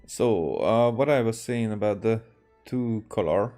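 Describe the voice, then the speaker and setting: A man from Hungary, a native Hungarian speaker, streaming a game with casual, halting commentary. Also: fairly low pitch, warm tone. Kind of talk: casual game commentary, reading dialogue aloud. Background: game music.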